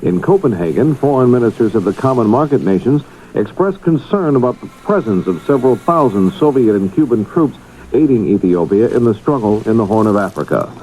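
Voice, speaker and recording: A man's voice: medium pitch, lively intonation, fairly quick, warm tone, slight background noise, poor audio. A man reading scripted news as a radio anchor on a 1970s broadcast.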